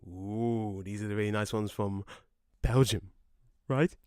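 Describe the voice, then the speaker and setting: A man playing a cheeky, excitable ghost in an audio drama, chatty and exclamatory in his delivery. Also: on the low side, measured pace, smooth, no echo, clean studio sound, natural voice. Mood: curious, cheeky. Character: Sonny, excitable, cheeky male ghost, matey and mischievous.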